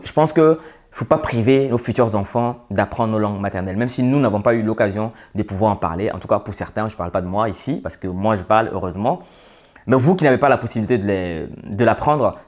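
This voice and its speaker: A man's voice: on the low side, a little mellow. A man from Côte d'Ivoire, giving an earnest, advising monologue to his viewers, with hesitant fillers. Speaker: vlogger. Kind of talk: opinion monologue to camera.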